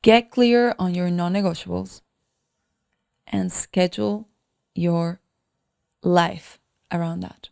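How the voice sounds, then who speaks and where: Low-pitched creaky-voiced woman coaching. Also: steady tone, a little mellow. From Dominican Republic. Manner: emphatic, deliberate, repeating phrases for emphasis. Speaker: podcast host and coach. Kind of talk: motivational solo podcast talk.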